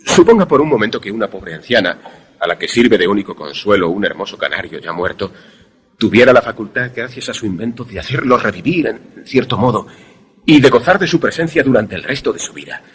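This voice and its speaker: A man plays a polite, sceptical visitor recounting an eerie tale in a radio play. His voice is deep and husky. He speaks in a measured, literary way with a formal turn of phrase. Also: animated, fairly bright tone. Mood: polite, insistent. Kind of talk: suspense radio play narration and dialogue.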